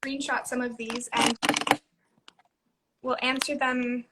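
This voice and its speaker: Woman, high voice, live-stream host, easygoing, short replies, video call mic. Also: level tone, slow pace, heavy background noise. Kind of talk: casual live-stream chat.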